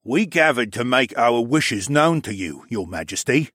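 A man's voice: medium pitch, sing-song, measured pace, fairly bright tone, slightly rough, no echo, studio quality, exaggerated voice. A man voicing an earnest courtier in an audiobook, formal and deferential yet insistent as he pleads before royalty. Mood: earnest appeal. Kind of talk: audiobook character acting.

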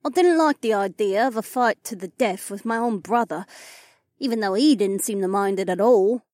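A woman's voice: mid-range voice, moderate pace, darkish tone, no echo, clean studio sound, lightly acted. A woman voicing a proud, wounded elf noblewoman and sword warrior in an audiobook. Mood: hurt. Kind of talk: audiobook character dialogue.

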